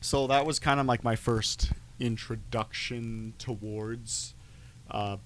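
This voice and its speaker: A man chatting casually on a podcast, telling anecdotes in an animated storytelling way, on noisy amateur audio. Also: mid-range voice, takes it slowly.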